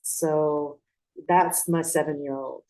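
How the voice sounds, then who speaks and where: A woman interview guest who was born in Pakistan and raised in Atlanta. She talks in a reflective way, circling back and restarting as she thinks, in a fairly low voice. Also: steady tone, slow pace, mellow, low-quality recording. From Pakistan, raised in Atlanta, Georgia. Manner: reflective, with frequent restarts and fillers. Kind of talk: conversational interview.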